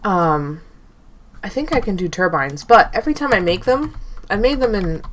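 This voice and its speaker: A woman's voice: medium pitch, animated, normal speed, slight background noise. A young woman with a stuffy, nasal voice from allergies, rambling casually through gameplay commentary and correcting herself as she thinks aloud. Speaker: gaming channel host.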